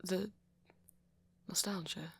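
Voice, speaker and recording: A very breathy, low-pitched, bashful young male vampire, acted out by a woman in an ASMR roleplay. The voice is soft and often half-whispered, with a gravelly edge. The delivery is hesitant and self-conscious, full of anxious stammers and apologetic turns. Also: steady tone, slow pace, heavily acted. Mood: bashful, nervous. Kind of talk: ASMR roleplay monologue to a listener.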